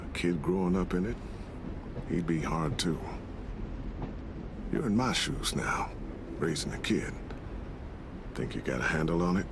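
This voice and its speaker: Gentle mentor for a survival game: deep, creaky, paternal, encouraging, with clear background rumble. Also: male voice, unhurried, long pauses. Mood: warm curiosity. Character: gentle, fatherly man, a reassuring survivor mentor. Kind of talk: naturalistic video game drama acting.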